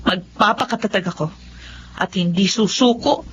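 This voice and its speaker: An actress playing a weary, earnest young eldest daughter in an emotive Filipino radio drama. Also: female voice, mid-range voice, moderate pace, noisy background, natural voice. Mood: grateful, hopeful. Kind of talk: Filipino radio drama acting and first-person narration. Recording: dull lo-fi audio with music behind.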